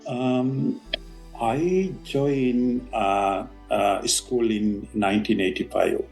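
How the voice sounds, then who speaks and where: A Sri Lankan man in his fifties, a doctor answering podcast interview questions with many pauses and fillers. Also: mid-range voice, gravelly, darkish tone, soft background music. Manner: hesitant, many ums and uhs. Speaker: guest doctor.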